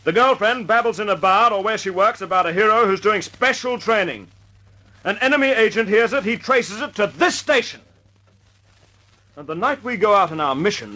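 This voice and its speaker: A clipped, commanding squadron leader. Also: male voice, high-pitched, bright, forceful tone, heavily acted, low-quality recording. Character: stern RAF wing commander Gibson, young man. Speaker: squadron leader addressing aircrew.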